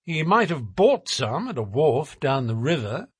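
Shrewd detective, acted by a male reader: formal, coaxing, bland, widely varied intonation on a highish voice, audiobook storytelling. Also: very animated, no echo, exaggerated voice. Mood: bland probing. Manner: smooth, measured, politely formal. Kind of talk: audiobook character voice.

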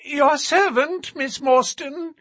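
A thin, shrill, nervous fop. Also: male voice, slow, fairly bright tone, no room sound, studio quality, exaggerated voice. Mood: fussy, nervous. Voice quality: thin, high voice. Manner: twitchy, fussy, anxious. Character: thin-voiced nervous fussy young man. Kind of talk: audiobook character reading.

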